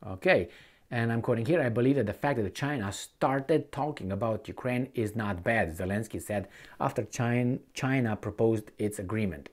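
A man's voice: fairly low pitch, moderate pace. A middle-aged man giving off-the-cuff news commentary in an opinionated, sardonic, conversational way. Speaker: political commentator and host. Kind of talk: off-the-cuff news commentary, reading articles aloud. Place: indoor home office or study with bookshelves.

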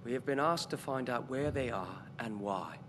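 Young noble commander for fantasy game, male actor: courteous, formal, expressive pitch, long reverb with music underneath. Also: normal speed, background noise, lightly acted. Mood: composed, commanding. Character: formal, courteous young lord giving orders. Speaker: video game character. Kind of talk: dramatic game cutscene acting.

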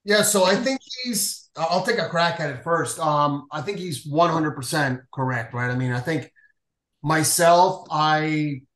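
A man's voice: a little high, a little slow, a bit bright, echoey room. A man in his forties from Venezuela, a payments executive talking casually on a video call with many filler uhs. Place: home room, indoors. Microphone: video call webcam.

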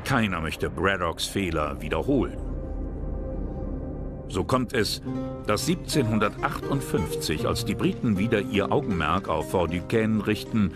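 A man reading scripted historical documentary narration in a measured, dramatic storytelling manner. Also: low-pitched, animated. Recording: prominent music behind narration.

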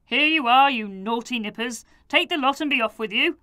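A woman voicing a kindly grown man who murmurs slowly and thoughtfully while he puzzles things out. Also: a little high, bright, forceful tone. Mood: jolly.

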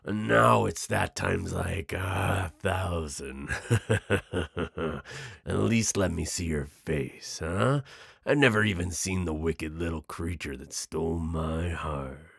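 A man acts out a predatory male werewolf for an intimate audio drama. He is very low and gravelly, amused and flirtatious with an edge of menace. The words come in a slow, teasing drawl, close and dry. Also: a bit bright, no room sound, heavily acted. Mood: smitten, teasing. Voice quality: gravelly, husky. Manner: drawling, teasing, with breathy chuckles and hesitant asides.

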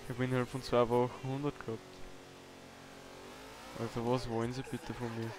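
A man's voice: medium pitch, little pitch movement, a little fast, a little mellow, a little hiss or hum. A man with a German dialect chatting casually as a guest streamer, laid-back and chuckling. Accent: dialect-tinged German. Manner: laid-back, chuckling, spells out his name. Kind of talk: casual gaming chat.

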